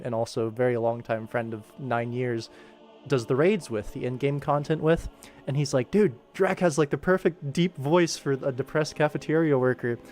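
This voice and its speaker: A man streaming his work as an animation director, talking informally and rambling as he thinks aloud. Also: medium pitch. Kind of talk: casual livestream work commentary. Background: game ambient music playing softly.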